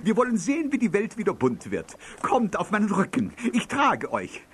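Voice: mid-range voice, wildly swooping pitch, moderate pace, a little hiss or hum. An actor playing a vain, jolly talking horse in a children's audio drama, boastful and grand. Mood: proud, jolly.

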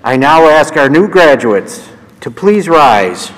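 A man's voice: on the high side, big pitch swings, takes it slowly. A man at a podium giving formal instructions to graduates during a ceremony. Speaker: college vice president. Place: large hall, at a podium. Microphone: podium mic, far camera. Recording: long hall reverb with audience.

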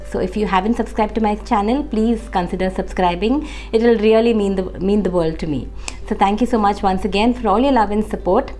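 A woman, hoarse from a cold, chatting to camera in a casual fashion vlog with many fillers. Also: mid-range voice, darkish tone. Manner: chatty, friendly, with frequent uh fillers. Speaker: fashion vlogger. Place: indoor room, seated facing camera.

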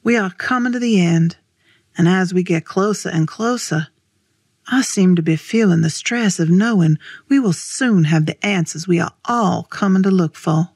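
A performer playing an uneasy narrator in a dramatic voice-over, measured and brooding, full of dread. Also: medium pitch, dry recording, studio quality, lightly acted. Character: uneasy diary-following narrator, dreading the answers.

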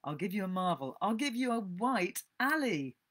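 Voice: medium pitch, big pitch swings, normal speed, a bit bright, pitched above the performer's own voice. A storybook reader voicing a cocky, mischievous schoolboy with a coaxing, dismissive manner. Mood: cocky, persuasive.

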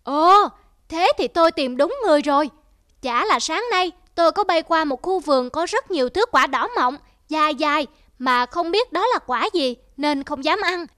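Woman voicing a very high, sly cartoon parrot. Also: animated, moderate pace, a bit bright, no room sound, studio quality. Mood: sly, coaxing. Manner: coaxing, feigned politeness. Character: sly, polite cartoon parrot who outwits bullies. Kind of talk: children's cartoon voice acting. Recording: music behind the voice.

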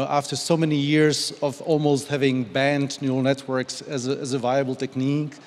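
A man in his forties, speaking on a panel into a handheld mic in a big glass hall. He sits at a mid pitch and talks in a measured, articulate, lightly wry way. A long hall reverb trails behind his words. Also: darkish tone. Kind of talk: panel discussion. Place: large glass-walled hall.

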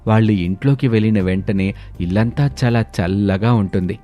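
Male narrator for cartoon tales; mellow, dark tone, steady delivery. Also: on the low side, moderate pace, faint music behind. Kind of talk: scripted storytelling narration.

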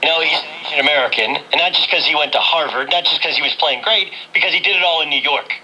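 A man's voice: a little high, fast, low-quality recording. A man in talk radio chat, heard through the speaker of a vintage radio. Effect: played through a vintage radio. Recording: bright radio speaker playback, background noise.

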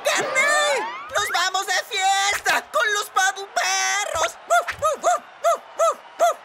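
Cartoon squirrel boy: very high, swooping, excitable and theatrical, over music. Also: takes it slowly, over a noisy crowd, exaggerated voice. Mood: frantic, elated. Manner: dramatic, exclamatory. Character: excitable young male cartoon squirrel, jealous and dramatic. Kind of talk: dubbed cartoon acting. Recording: music behind the voice.

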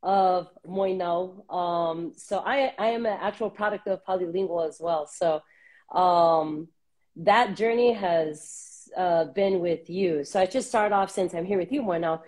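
A woman in her thirties from Southern California, a guest talking reflectively over a video call on earbuds, with many pauses and fillers. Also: lowish voice. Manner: warm, reflective, many ums. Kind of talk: casual interview on a video call. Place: small room indoors.

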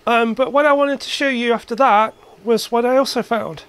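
A man's voice: very high-pitched, steady tone, normal speed, fairly bright tone, clean tone. A man giving a casual, hands-on demonstration as an electronics hobbyist in his workshop. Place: cluttered indoor electronics workshop.

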